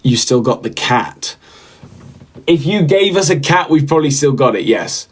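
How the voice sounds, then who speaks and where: A man's voice: mid-range voice, very animated, moderate pace, slightly rough. A young man hosts a casual livestream. He rambles and trails off, filling gaps with ums and repeated phrases as he answers viewers. He speaks close to the mic in a medium voice. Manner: rambling, repeats himself, many fillers. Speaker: livestream host.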